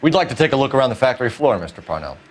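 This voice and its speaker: A man's voice: medium pitch, very animated, racing, natural voice. An actor playing a stern, pressing, sardonic male police detective in a video game. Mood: probing. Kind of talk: acted video game dialogue.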